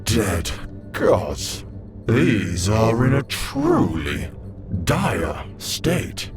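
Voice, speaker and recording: A weary, ancient machine caretaker, acted by a man, speaks in solemn, archaic phrases. The lowish voice drags extremely slowly and swings widely in pitch, with music clearly behind it. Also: wildly swooping pitch, exaggerated voice. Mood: grim dismay. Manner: slow, solemn, drawn-out archaic speech. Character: weary ancient robotic caretaker of a tomb world.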